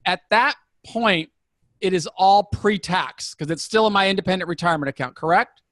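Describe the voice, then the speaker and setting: A man in his fifties asking questions in a conversational interview, inquisitive and deliberate, restating points to confirm them. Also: high-pitched, fairly bright tone, no echo, studio quality. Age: 50s. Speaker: interviewer asking about retirement investing.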